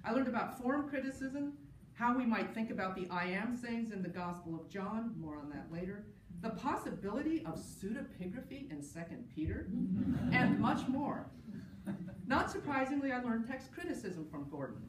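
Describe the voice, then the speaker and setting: A woman's voice: on the high side, big pitch swings, normal speed. A middle-aged woman gives a personal tribute talk at an academic conference, partly from notes, in a wry, anecdotal way with amused asides. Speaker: panel speaker, New Testament scholar. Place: large indoor conference session room. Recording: roomy, distant mic, clear background noise.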